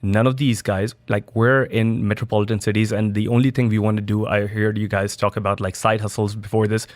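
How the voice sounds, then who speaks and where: Relaxed man talking in a dry studio. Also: on the low side, brisk, dry recording. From Dubai. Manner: loose, conversational, with restarts and fillers. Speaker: studio guest, biohacking entrepreneur. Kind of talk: casual interview answers.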